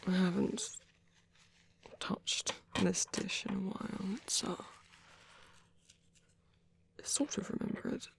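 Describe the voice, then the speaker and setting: A soft, half-whispered voice with a creaky edge from a man playing a part gives life to a caring vampire priest in an ASMR roleplay. He speaks tenderly and without hurry, pausing gently as he comforts a little one. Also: high voice, full character voice. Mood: tender, careful. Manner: tender, unhurried, hesitant pauses. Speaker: ASMR performer.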